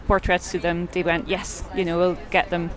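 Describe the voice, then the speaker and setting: A woman's voice: fairly low pitch, flat delivery, moderate pace, a crowd in the background, rough recording. A woman in her forties from Belgium, an artist and photographer answering interview questions in a hesitant way, with many fillers and restarts.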